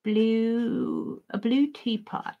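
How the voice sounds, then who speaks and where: A woman's voice: on the high side, fairly even intonation, drawn-out words, narrow, tinny audio. A woman chatting to herself as she works in an art journal at her craft table, musing aloud about what to draw next. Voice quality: loud voice. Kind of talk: casual self-talk while drawing. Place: home craft table, indoors.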